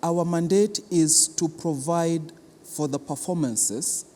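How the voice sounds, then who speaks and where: A man with a Kenyan accent giving explanatory interview answers as an arts institution official, halting and deliberate, often repeating words for emphasis. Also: a little high, mellow. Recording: long reverberant hall, clear background noise.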